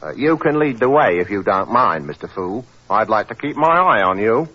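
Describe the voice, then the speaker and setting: An eager, plodding constable in an old radio drama. His husky voice swings widely in pitch and his talk is folksy and respectful. The recording is muffled. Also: animated, normal speed. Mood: helpful. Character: earnest, deferential working-class London police constable, eager and plodding. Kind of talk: 1940s radio acting.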